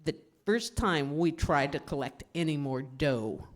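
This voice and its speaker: A woman's voice: low voice, a little slow. A woman playing a nervous petty crook, slangy and wary, in 1930s radio style. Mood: fearful, urgent. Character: nervous petty crook, henchman posing as beggar. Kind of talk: 1930s gangster radio acting. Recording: reverberant hall recording.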